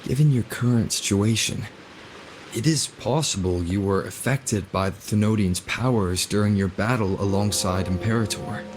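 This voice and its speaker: Actor as a low-voiced, calm adventurer. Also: male voice, normal speed, a little hiss or hum, natural voice. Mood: calm, reasoning. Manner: reasoned, even-tempered. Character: calm, earnest young male adventurer. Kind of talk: video game character dialogue. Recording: game music behind dialogue. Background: music.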